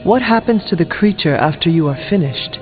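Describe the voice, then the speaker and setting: An actor playing a solemn, formal warrior hero in a video game, with stately, measured phrasing. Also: male voice, on the high side, animated, lightly acted. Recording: reverberant, thin phone-like audio with music.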